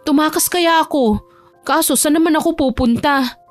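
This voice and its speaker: A woman narrator plays a young heiress in trouble, planning her escape. The voice is fairly high and youthful, in romance radio drama style, over faint music. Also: normal speed, lightly acted. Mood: worried, pleading. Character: troubled young heiress plotting to run away.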